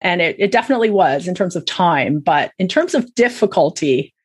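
A woman's voice: fairly low pitch, lively intonation, fairly quick, husky. A middle-aged woman with a Canadian accent, chatting as a podcast guest in a casual, self-deprecating way. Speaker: podcast guest, charity executive director. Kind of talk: casual interview conversation.

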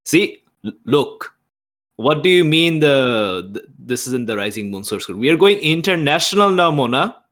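A man playing a tabletop game, improvising role-play in a casual, wry and halting way. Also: on the high side, sing-song, a bit bright.

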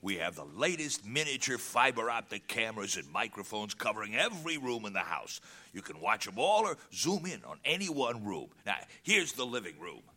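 Man playing a cocky dog with sharp, forceful voice. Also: fairly low pitch, sing-song, moderate pace, full character voice. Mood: showing off. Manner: boastful, showing off. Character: cocky, excitable male dog, tech-savvy gamer. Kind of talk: live audio theatre acting.

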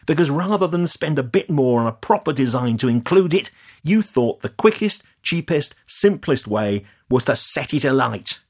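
A man reading an audiobook and voicing a sharp, scornful woman campaigner who snaps and sneers. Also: fairly low pitch, animated, normal speed, husky. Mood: furious. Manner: contemptuous, snapping and sarcastic.

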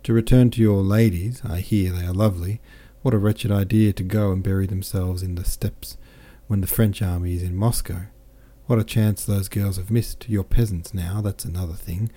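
A man's voice: deep voice, fairly even intonation, moderate pace, hardly any pauses, warm tone. A man voicing a jovial, boastful French army captain who chatters in exclamations.

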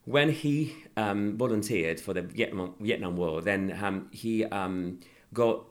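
A man from Sicily, an actor being interviewed, talking casually with many pauses and restarts. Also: on the low side. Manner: hesitant, many fillers and restarts. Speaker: actor guest.

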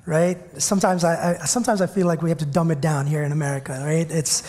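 A man's voice: fairly high pitch, steady tone, fast talker, a little mellow. Man, pastor, Indian English accent, sermon, joking storyteller, church with long reverb. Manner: chatty, joking storyteller addressing congregation. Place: church sanctuary.

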